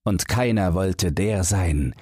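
A man narrating a fairy tale for bedtime as an audiobook reader, with measured, deliberate phrasing. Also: sits low, a little mellow, slightly rough, no echo, clean studio sound.

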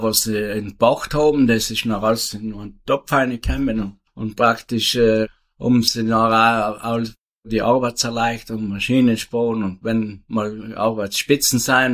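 A man in his seventies with a South Tyrolean German accent, a retired farmer who answers interview questions in a rambling, halting way. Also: medium pitch, fairly bright tone. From South Tyrol, Italy. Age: 70s. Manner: rambling, halting, with many restarts and fillers.

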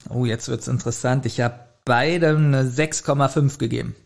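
A man's voice: medium pitch, steady tone, moderate pace, vocal fry. A man, speaking casually as a podcast co-host giving a review.

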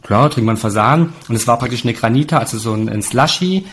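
A man's voice: mid-range voice, fast, breathy edge. A man in his late thirties telling anecdotes in a chatty, colloquial, casual way. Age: late 30s.

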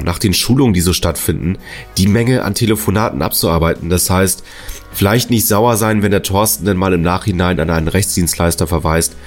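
A man from northern Germany hosting a podcast in a chatty, friendly way with some joking. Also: low voice. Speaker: podcast host.

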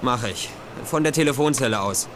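An actor playing an easygoing young detective in a children's audio drama. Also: male voice, mid-range voice, brisk, a bit bright, a little hiss or hum, close to an everyday voice. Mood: breezy. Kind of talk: German children's radio play acting.

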